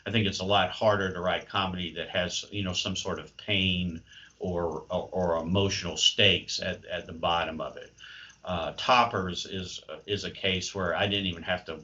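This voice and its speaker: A man with a low but bright-edged voice speaks as an interview guest. He is thoughtful and wry, picking his words hesitantly. The audio is slightly dull and muffled. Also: steady tone, moderate pace. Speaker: playwright and creative writing professor, interview guest. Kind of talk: conversational interview answers.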